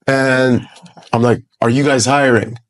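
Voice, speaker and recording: A man's voice: medium pitch, fairly even intonation, a little slow. A man in his forties from Lebanon, a guest investor giving blunt, profane, emphatic advice in a casual podcast interview. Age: 40s.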